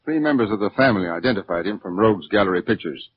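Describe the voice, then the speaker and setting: A formal, businesslike executive acted by a man in a 1940s radio drama. His voice is fairly low. The old recording is muffled with faint noise. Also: expressive, racing, close to an everyday voice. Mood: grave, formal. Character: businesslike, formal male insurance company executive.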